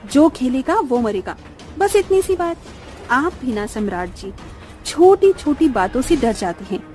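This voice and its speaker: A woman's voice: high voice, very animated, a little slow, warm tone, faint music behind, voice pushed down. A woman playing an easygoing, dismissive young mother in a cartoon story, with a casual manner.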